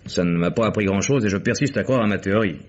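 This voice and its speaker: An actor playing a wry, unflappable private detective with a sardonic, measured delivery in a French radio crime drama. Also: male voice, on the low side, close to an everyday voice. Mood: matter-of-fact. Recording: dull, noisy old radio recording.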